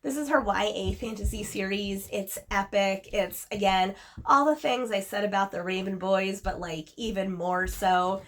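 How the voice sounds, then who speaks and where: A woman's voice: mid-range voice, moderate pace, fairly bright tone. Chatty woman with a slight cold. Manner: chatty and enthusiastic, repeats "really". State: recovering from a cold, a little sniffly. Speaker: book reviewer. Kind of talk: casual chat to camera.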